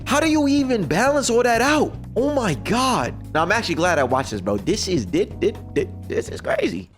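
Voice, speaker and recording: A young man giving casual, slangy reaction commentary as a streamer, excitable and often repeating words. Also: high-pitched, normal speed. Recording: music behind the voice.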